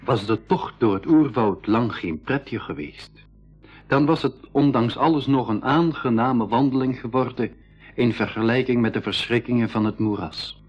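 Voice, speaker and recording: A man playing an explorer who retells a jungle adventure as dramatic radio storytelling, with a husky voice. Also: mid-range voice, moderate pace, close to an everyday voice. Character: male expedition member retelling a jungle adventure. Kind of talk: dramatic scripted storytelling narration. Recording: dull radio recording, music behind.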